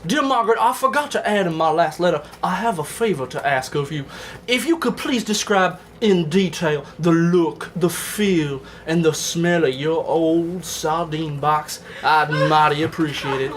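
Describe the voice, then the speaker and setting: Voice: mid-range voice, a little slow, slight background noise, lightly acted. A performer voicing a lovesick, crude Civil War soldier reading his letters home in an earnest, emphatic, folksy way for comedy. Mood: longing, earnest. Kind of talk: comic dramatic letter reading for a live audience.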